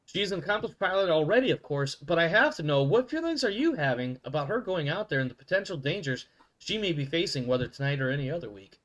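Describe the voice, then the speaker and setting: Lively, animated man hosting a mock sports show. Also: a little high, low-quality recording. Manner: animated, self-correcting with quick asides. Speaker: show host.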